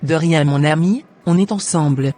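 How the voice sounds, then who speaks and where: A man's voice: fairly high pitch, moderate pace, dark tone, soft background music, close to an everyday voice. A man voicing a self-assured, teasing young woman friend in a cartoon. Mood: cocky. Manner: cocky, teasing, confident.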